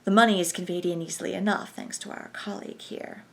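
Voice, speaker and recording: A woman voicing a forceful, defiant Irish revolutionary man. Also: sits high, fairly even intonation, fast, vocal fry.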